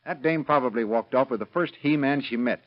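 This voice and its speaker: A man's voice: mid-range voice, brisk, natural voice. A man acting a sardonic, clipped police detective in an old radio drama, in a dull vintage recording. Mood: wry, dismissive. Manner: clipped, hard-boiled, slangy. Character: brisk, sardonic, skeptical male police detective.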